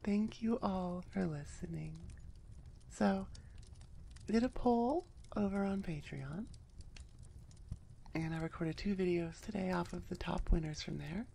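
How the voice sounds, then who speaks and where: A woman's voice: lowish voice, unhurried, gravelly, long pauses, mellow, slight background noise. A woman audio roleplay creator chatting casually to her listeners up close on the mic, in a rambling, wry, self-deprecating way. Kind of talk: casual outro chat to listeners. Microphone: close microphone.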